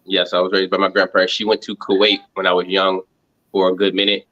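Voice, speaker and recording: A man, answering casual interview questions in a halting, reflective way, thinking aloud. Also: deep voice, monotone, pushed and bright, muffled recording. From Montgomery, Alabama, United States. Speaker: reality show contestant interviewed as guest.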